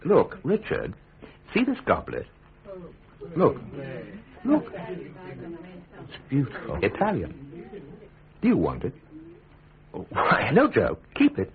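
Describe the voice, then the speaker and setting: A man's voice: a little high, wildly swooping pitch, heavily acted. Urbane statesman with swooping intonation. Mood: earnest, coaxing. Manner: measured, wry, coaxing. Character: urbane, gently teasing, principled gentleman statesman. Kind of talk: British radio drama acting. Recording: tinny band-limited radio recording.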